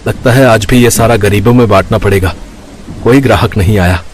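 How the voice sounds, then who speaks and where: A man's voice: on the low side, fairly quick, a little hiss or hum, close to an everyday voice. A man voicing a worried, humble village eatery owner in a cartoon, speaking plaintively. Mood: despairing.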